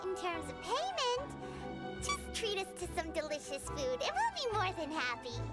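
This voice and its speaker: A tiny floating fairy companion, chirpy and eager, speaking in the third person. Her voice sits extremely high and leaps wildly up and down. Game music plays loudly behind her. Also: huge pitch swings, unhurried, heavily acted. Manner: eager, refers to herself by name. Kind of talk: video game voice acting.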